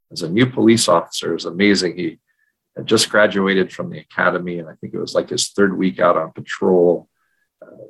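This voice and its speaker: A middle-aged or older man co-hosting a podcast, talking casually in a measured, reflective way. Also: deep voice, vocal fry, a bit bright, breathy edge, poor audio. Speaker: podcast co-host. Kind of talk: casual conversational podcast talk, personal storytelling. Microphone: video call.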